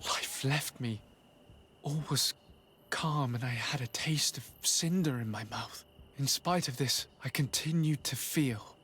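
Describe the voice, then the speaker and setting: An actor playing an earnest young nobleman emissary in a video game, speaking in a measured, formal way. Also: mid-range voice, a bit bright, a little hiss or hum, lightly acted. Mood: shaken, hushed. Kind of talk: video game cutscene dialogue.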